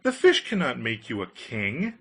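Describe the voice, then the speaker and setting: A man's voice: medium pitch, wildly swooping pitch, moderate pace, fairly bright tone, husky, full character voice. Man acting a meek, doleful fisherman. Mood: reluctant. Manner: doleful, hesitant. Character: meek, reluctant, humble poor fisherman husband.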